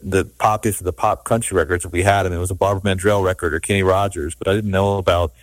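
A middle-aged producer from Georgia talks quickly and loosely as a guest, circling back with restarts and fillers. His voice sits low, and the sound is dry and close. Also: steady tone, no echo. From Savannah, Georgia, US. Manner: rambling, many restarts and fillers. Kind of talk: casual interview talk.

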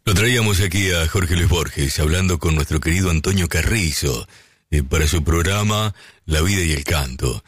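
A man hosts a radio program, speaking in a very low, husky voice. He talks steadily, dotting his sentences with hesitation sounds. The sound is dry and close to the mic. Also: normal speed, dry recording, faint music behind. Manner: warm tribute, many filler sounds. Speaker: radio host. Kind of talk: radio presentation.

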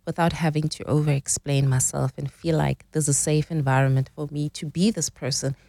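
A woman's voice: low voice, steady tone, brisk, creaky, mellow, dry recording. A woman in her forties, explaining relationship dynamics as a guest in a conversational interview, often checking in with "right?". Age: 40s.

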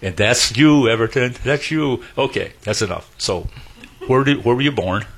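A man's voice: medium pitch, moderate pace, breathy edge. An older man hosting a radio show, folksy and joking in casual chat. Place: radio studio.

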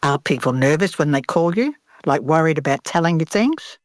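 A woman's voice: sits low, expressive, moderate pace, no echo, studio quality. A woman hosting a radio interview, asking friendly, curious questions. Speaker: radio host.

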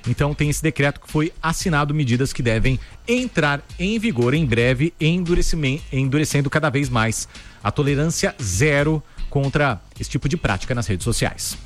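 A man co-hosting a radio news show, reading headlines briskly with casual asides. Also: fairly high pitch, lively intonation. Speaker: news co-host. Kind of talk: radio news bulletin chat. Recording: faint music bed behind.

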